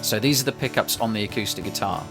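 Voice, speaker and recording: A man's voice: lowish voice, fast talker, over music. A man casually explaining his home studio mixing process, in a loose, rambling way with many restarts. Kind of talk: casual tutorial talk.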